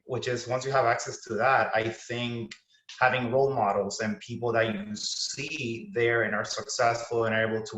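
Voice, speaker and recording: A man's voice: mid-range voice, a bit bright. Earnest young man on a roomy call. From Puerto Rico. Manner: earnest, conversational, many fillers. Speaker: panelist. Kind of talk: personal story on a panel. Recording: roomy, dull-sounding video call audio.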